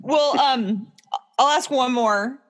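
A woman's voice: sits high, slow pace. An American woman hosting a sports podcast, asking questions in a casual, conversational style. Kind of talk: casual interviewing.

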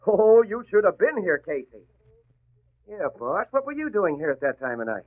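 High-pitched, brash radio reporter. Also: male voice, very animated, moderate pace. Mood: brash, chatty. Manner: wisecracking, emphatic. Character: brash, excitable, slangy newspaper reporter man. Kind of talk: 1940s radio acting. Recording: tinny, band-limited old radio broadcast.

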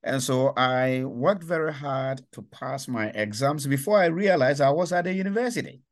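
An older man from Ghana, an author and professor, answering interview questions thoughtfully with many pauses and fillers. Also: fairly high pitch.

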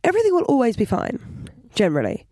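A woman co-hosting a podcast in casual chat, hoarse from a bad cough, with teasing, dry quips. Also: a little high, huge pitch swings, quick pace, mellow, no room sound. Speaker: podcast co-host.

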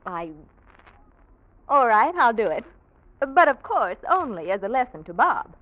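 Playful young ingenue, high pitched, teasing, old-time radio acting, dull recording. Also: female voice, very animated, measured pace. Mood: teasing, coy.

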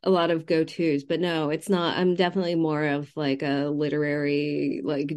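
Lowish-voiced woman, halting and thoughtful. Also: level tone, darkish tone. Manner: halting, self-interrupting, thinking aloud, laughs readily. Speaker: novelist, interview guest. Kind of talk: casual literary interview.

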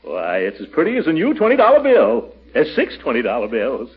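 An actor playing a pompous, flustered middle-aged uncle in a classic radio comedy, blustering, hesitating and chuckling. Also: male voice, medium pitch, wildly swooping pitch. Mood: surprised. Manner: hesitant, blustering, full of chuckles and drawn-out exclamations. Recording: dull, band-limited vintage radio recording.

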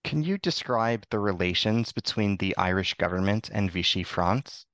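A man hosts a podcast interview, reading formal, prepared questions. His voice sits on the low side. The sound is dry and close. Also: animated, normal speed, a bit bright, dry recording. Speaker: podcast host.

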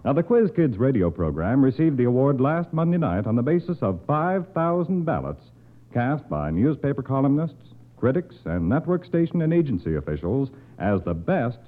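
Animated male announcer on tinny old radio. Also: medium pitch, moderate pace. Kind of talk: formal 1950s radio announcement.

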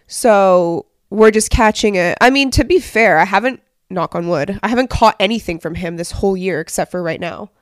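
A woman's voice: medium pitch, normal speed, few pauses, no room sound, clean studio sound. Congested woman chatting on a podcast. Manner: chatty, rambling with many "like" fillers. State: congested from a cold. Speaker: podcast co-host. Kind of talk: casual chat.

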